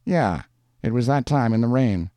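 A man voicing a gruff, lovesick, down-on-his-luck beer drinker with blunt, defensive street talk in a story reading. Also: mid-range voice, animated, moderate pace, mellow, no room sound, pitched above the performer's own voice, close to an everyday voice. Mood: insistent pleading.

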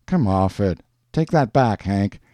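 Man playing a gruff, lovesick down-and-out drinker. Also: on the low side, lively intonation, measured pace, dark tone, no echo. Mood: defensive anger. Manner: blunt, defensive street talk.

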